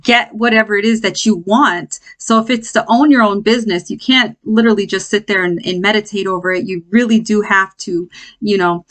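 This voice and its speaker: A woman hosting an informal spiritual self-help lesson, speaking off the cuff in a halting, conversational way and checking in with listeners. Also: mid-range voice, fairly even intonation, fairly bright tone.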